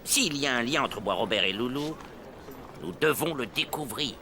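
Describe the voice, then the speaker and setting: An actor playing a combative, principled newspaper director in a cartoon, articulate and bitingly ironic. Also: male voice, mid-range voice, expressive, normal speed, background noise, close to an everyday voice. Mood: wry, determined. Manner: dry irony, articulate and biting. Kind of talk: French animated series acting. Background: music.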